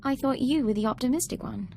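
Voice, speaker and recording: Game character: fond, respectful young intelligence officer, warm dark tone, gentle delivery. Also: female voice, sits high, fast, vocal fry, slight background noise. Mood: concerned. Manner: polite, soft, sincere. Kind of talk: video game cutscene acting.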